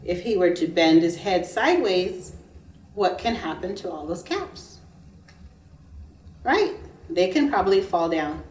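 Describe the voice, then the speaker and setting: Casting: woman teacher, children's read-aloud, slow and emphatic delivery, medium pitch, echoey recording. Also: lively intonation, darkish tone. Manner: emphatic, pausing to ask listeners questions. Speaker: preschool teacher. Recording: roomy echo, distant microphone.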